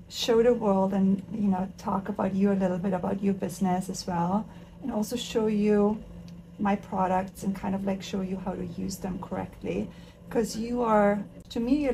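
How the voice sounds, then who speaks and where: An enthusiastic woman from Austria talks while she applies makeup, in a mid-range voice. She is chatty and warm to her guest. A faint steady noise sits under her voice in a living room. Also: mid-range voice, normal speed, gravelly, rough recording. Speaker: makeup artist and host. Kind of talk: casual chat while doing makeup.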